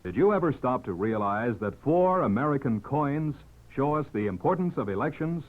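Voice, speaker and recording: A man's voice: mid-range voice, expressive, moderate pace. A man reading an earnest scripted public service message in 1950s radio style. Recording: narrow-band vintage radio recording.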